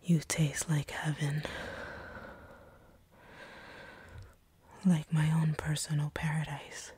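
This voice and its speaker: Seductive vampire lady, acted by a woman, breathy whisper, low dark tone, commanding, teasing, roleplay audio. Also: completely flat delivery, moderate pace, no room sound. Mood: possessive, tender. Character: seductive, sadistic vampire woman taunting her captive hunter.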